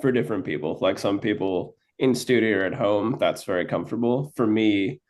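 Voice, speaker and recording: A man's voice: lowish voice, brisk, darkish tone. A man, a band's guitarist, answering interview questions in a laid-back, loose way with casual swearing.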